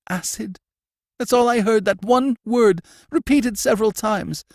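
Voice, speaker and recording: A man's voice: a little slow, no room sound, clean studio sound. A man voicing a terrified male prisoner who gasps and wails in panic. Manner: gasping, shrill, panicked wailing. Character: terrified male prisoner, a guilt-haunted murderer.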